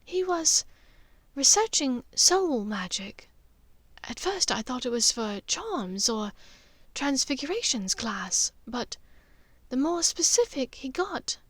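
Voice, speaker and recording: A woman's voice: high voice, sing-song, slow, no room sound, voice pushed up, exaggerated voice. A woman voicing a refined, reflective mother in an audiobook, speaking hesitantly and confidingly. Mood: grave, confiding. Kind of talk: audiobook character dialogue.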